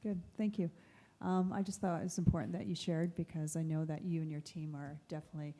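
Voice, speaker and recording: A woman's voice: low voice, flat delivery, fast, warm tone. A woman councillor asking a polite question at a public meeting, hesitant with many ums. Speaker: city councillor.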